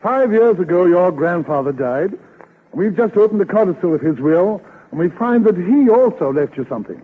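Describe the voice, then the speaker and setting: An actor playing an earnest, fussy lawyer in 1930s radio comedy, speaking formally and carefully. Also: male voice, sits high, lively intonation, normal speed, slightly rough. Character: earnest, fussy male lawyer breaking news gently. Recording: muffled old 1930s radio recording.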